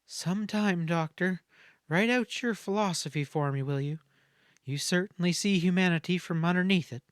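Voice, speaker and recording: A man's voice: high voice, moderate pace, clean tone, no echo, studio quality, natural voice. A man voicing a plucky, teasing young frontier woman, plain-spoken, in a Western audiobook. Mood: admiring, playful.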